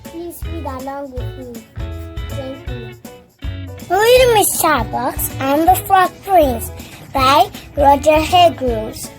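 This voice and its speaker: An expressive storyteller reading a children's story aloud in a slow, deliberate way, with children's music behind. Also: high-pitched.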